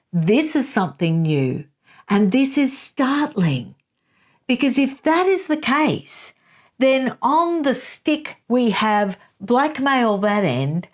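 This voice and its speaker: A woman hosting her own commentary channel, making emphatic opinionated arguments and reading passages aloud. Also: medium pitch. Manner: emphatic, rebutting, reads quotes aloud.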